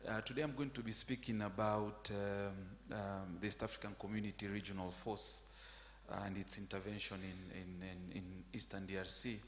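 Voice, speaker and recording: Flat-voiced man in an echoey hall. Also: fairly low pitch, normal speed. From Tanzania. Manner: friendly, hesitant with fillers. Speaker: academic panelist. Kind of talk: academic panel talk. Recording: echoey hall with faint hum.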